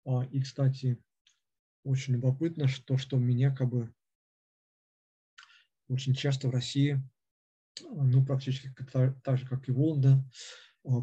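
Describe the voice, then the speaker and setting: A man lecturing off the cuff, stopping and restarting at every turn with a string of fillers. His voice is very dark and husky, and he speaks in a flat, level tone. The recording sounds dull and lo-fi. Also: medium pitch. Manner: halting, with constant hesitations and fillers. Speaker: lecturer on philosophy. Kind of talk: unscripted lecture.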